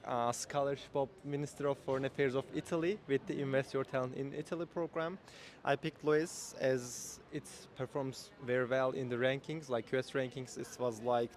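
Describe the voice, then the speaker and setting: A man in his twenties with a Turkish accent, giving halting, measured interview answers as a student. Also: mid-range voice, slight background noise. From Turkey.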